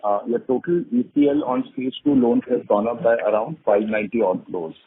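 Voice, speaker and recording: A man's voice: medium pitch, fairly quick, husky. A man speaking as an analyst, putting questions on an earnings call. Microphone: phone line. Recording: muffled phone conference line.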